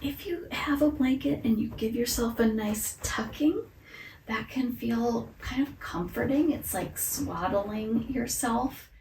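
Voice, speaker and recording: A woman leading a guided meditation as a yoga teacher, talking softly and soothingly and sometimes sinking into a whisper. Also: on the high side, echoey room, distant mic, slight background noise, rough recording. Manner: slow, soft and soothing, drifting into whispers.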